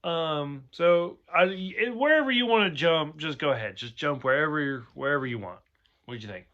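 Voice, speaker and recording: A man's voice: on the high side, animated, normal speed, fairly bright tone, lo-fi sound. A man hosting a casual review chat, rambling and chatty with lots of fillers, a bit tipsy. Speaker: show host.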